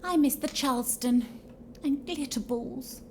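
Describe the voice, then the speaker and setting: Woman acting a high-voiced, ladylike ghost. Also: unhurried, warm tone. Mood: wistful. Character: prim, fashion-loving lady ghost of the Twenties. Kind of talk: audio drama acting. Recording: faint noise behind.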